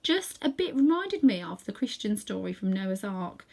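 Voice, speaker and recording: A woman's voice: mid-range voice, very animated, normal speed, darkish tone. A woman reading a storybook aloud, narrating expressively with casual asides to the listener. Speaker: storybook reader.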